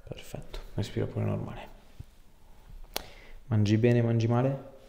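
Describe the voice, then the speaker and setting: Male chiropractor, low voice, informal and encouraging, recorded in an echoey treatment room. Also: flat delivery, a little slow, gravelly, warm tone, slight background noise. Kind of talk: casual clinical chat and instructions to a patient. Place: small indoor clinic treatment room.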